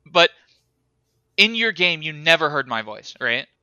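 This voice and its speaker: Bright, crisp-voiced man chatting casually. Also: on the high side, unhurried, no echo. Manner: rambling, animated, laughs often. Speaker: podcast co-host. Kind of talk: casual chat.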